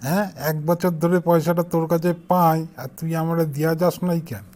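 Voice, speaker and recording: A man's voice: a little high, steady tone, brisk, a little mellow, lightly acted. A man playing a crafty, pushy shopkeeper in an amateur comic cartoon, scolding and badgering in an eastern Bengali accent. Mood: accusing. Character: pushy, crafty male shopkeeper demanding unpaid money.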